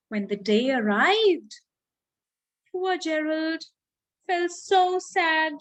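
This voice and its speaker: A woman with an Indian accent who speaks deliberately, as a children's storyteller addressing young listeners expressively. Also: sits high, rough recording. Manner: slow, deliberate, child-directed delivery with drawn-out words. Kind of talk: expressive read-aloud for children.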